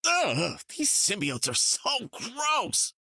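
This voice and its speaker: A wisecracking archer who talks casually and quips a lot. His pitch jumps all over the place, and the recording is dry and close. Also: huge pitch swings, very slow, vocal fry, bright, forceful tone, slightly rough, no room sound, heavily acted. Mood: casual, quippy. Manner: casual and quippy.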